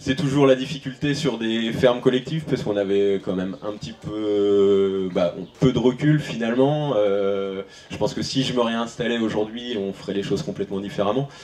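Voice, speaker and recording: A man's voice: fairly low pitch, normal speed, few pauses, darkish tone. A man in his thirties from western France, a farmer answering questions on a panel, hesitant with many fillers and restarts, speaking into a handheld mic in a large tent. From France (Maine-et-Loire). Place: large tent at an outdoor event. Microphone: handheld microphone.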